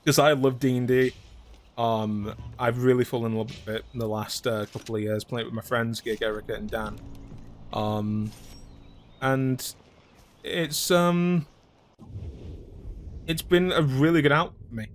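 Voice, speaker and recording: A man's voice: medium pitch, fairly even intonation, a little slow, long pauses. Rambling, candid male game streamer. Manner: rambling, hesitant, full of fillers and restarts; candid and blunt, with casual swearing. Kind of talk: casual chat while playing a video game.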